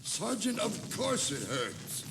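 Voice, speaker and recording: An actor playing a proud, testy Union general in a dramatized history tour, speaking formally in short replies. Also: male voice, high voice, huge pitch swings, slow, heavily acted. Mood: pained, testy. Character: proud, stubborn Union corps general, testy under rebuke. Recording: noisy old cassette tape dramatization.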